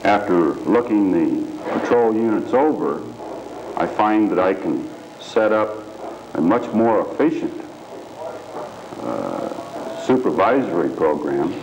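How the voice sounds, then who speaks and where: A man giving a folksy, halting interview statement as an official, on a noisy old news videotape. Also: fairly low pitch.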